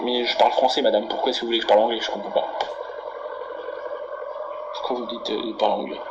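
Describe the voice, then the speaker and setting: A man's voice: medium pitch, level tone, quick pace, natural voice. A man putting on a menacing, candy-obsessed angry customer in a prank phone call, ranting and swearing insistently. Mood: agitated, insistent. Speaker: prank caller. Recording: very noisy phone prank recording.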